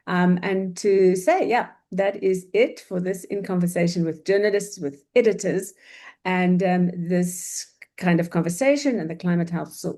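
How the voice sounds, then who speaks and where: A woman whose first language is Afrikaans, moderating and graciously wrapping up a panel discussion over a video call. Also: lowish voice. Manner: warm, gracious, thankful, with soft fillers.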